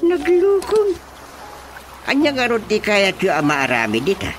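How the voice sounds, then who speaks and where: A fussy, excitable village chairman in an Ilocano radio comedy. His Ilocano-accented voice swings widely in pitch as he scolds and exclaims theatrically. Loud music plays behind the dialogue. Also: measured pace, exaggerated voice. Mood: fussing, officious.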